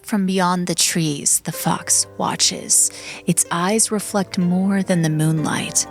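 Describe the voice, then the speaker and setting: Slow, creaky-voiced woman narrator. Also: on the low side, steady tone. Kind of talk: scripted dramatic story narration. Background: background music.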